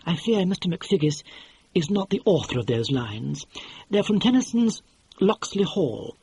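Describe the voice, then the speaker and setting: A man reading an audiobook and voicing a prim, formal male assistant who speaks with quiet precision. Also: high voice, very animated, a little slow, creaky, husky, heavily acted. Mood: polite, dry. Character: polite, prim, dry-witted male assistant. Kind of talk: audiobook character voice.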